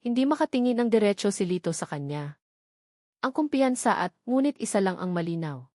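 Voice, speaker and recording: A woman's voice: mid-range voice, animated, brisk, darkish tone, no room sound. Filipino woman narrating, dry close sound. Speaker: story narrator. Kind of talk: scripted narration of a romance story.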